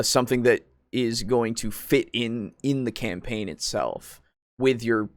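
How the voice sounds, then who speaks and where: A man's voice: medium pitch, no room sound, studio quality. A man co-hosting a casual tabletop roleplaying discussion, explaining things in a rambling way with some swearing. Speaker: podcast co-host.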